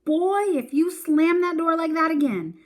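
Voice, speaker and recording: A woman's voice: very high-pitched, measured pace, voice pushed up. A woman voicing a fussy, exasperated old Southern grandmother in a storybook read-aloud, scolding in a folksy way.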